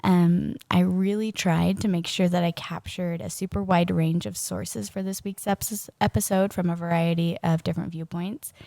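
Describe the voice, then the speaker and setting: A woman's voice: on the low side, flat delivery, normal speed, vocal fry, few pauses, dark tone, dry recording. A woman in her thirties co-hosting a podcast in casual chat, her voice still scratchy after a cold, talking with frequent ums and laughs. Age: 30s. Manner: chatty, laughs often, many ums. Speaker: podcast co-host.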